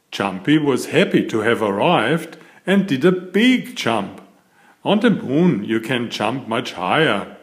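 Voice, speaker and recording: A man reading a children's picture book aloud in a deliberate, expressive storytelling manner. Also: medium pitch. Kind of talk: scripted children's storybook narration.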